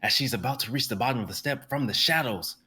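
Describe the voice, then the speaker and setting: Bright, brisk young male narrator. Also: medium pitch. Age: young adult. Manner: brisk, vivid scene narration with occasional stumbles. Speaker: narrator reading stage directions. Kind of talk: live dramatic reading of a script.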